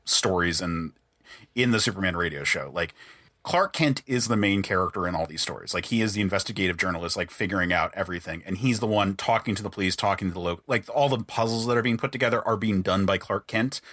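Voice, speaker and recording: Lowish guy podcast host, bright dry close sound, wordy analysis. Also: fast, no room sound. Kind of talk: casual podcast discussion.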